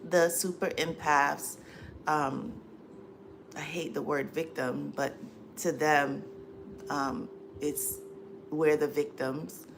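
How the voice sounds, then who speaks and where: Woman live streaming from home, real low voice, stuffy nose, slow and full of ums, noisy audio. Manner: slow, many ums, warm greetings to viewers. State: stuffy from allergies, dry throat. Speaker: livestream host and coach. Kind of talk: casual live chat.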